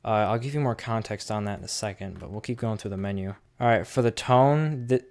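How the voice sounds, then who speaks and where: A man with a fairly low, clean and smooth voice talks through a product demo. He speaks informally and unscripted, thinking out loud as he shows things. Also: brisk. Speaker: product reviewer. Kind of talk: casual unscripted hands-on demo.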